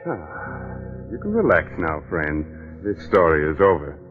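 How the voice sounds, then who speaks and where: A man's voice: deep voice, sing-song, a little slow. A man hosting a scripted 1940s radio mystery, theatrical, sly and teasing. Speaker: host. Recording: dull lo-fi 1940s radio recording, faint music.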